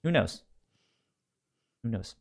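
A man co-hosting a podcast in a casual book discussion, speaking haltingly and often repeating sounds and words as he goes. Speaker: podcast co-host.

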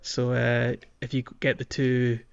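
A man's voice: medium pitch, monotone, measured pace, vocal fry, clean tone. A man hosting a podcast in a casual, hedging, conversational way about video games. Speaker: podcast host. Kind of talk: casual video game discussion. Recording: dull lo-fi microphone.

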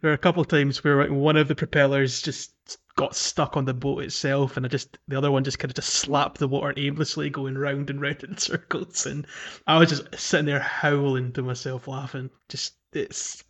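A man in a relaxed gaming podcast conversation, with a mid-pitched voice. He talks in a chatty, enthusiastic way, as a host among friends. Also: moderate pace. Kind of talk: casual video game chat.

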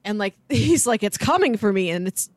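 A woman co-hosting a casual film discussion in a chatty, easygoing way, quick to laugh. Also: medium pitch, sing-song, no echo. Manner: chatty, laughs readily while talking. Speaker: podcast co-host.

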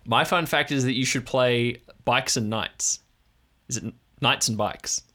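A man's voice: medium pitch, measured pace, sharp tone. A man hosting and narrating an improvised audio drama as its game master.

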